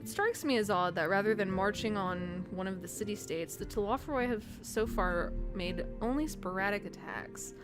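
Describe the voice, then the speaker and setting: A woman's voice: mid-range voice, normal speed, few pauses, lightly acted. Woman reading as a serious strategist, music behind. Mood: serious, wary. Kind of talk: reading game dialogue aloud.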